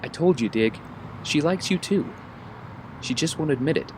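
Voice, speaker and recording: A man's voice: on the high side, animated, normal speed, a little mellow, slight background noise, rough recording. A man playing an earnest young vigilante in an audio drama, casual with a wry edge. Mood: teasing.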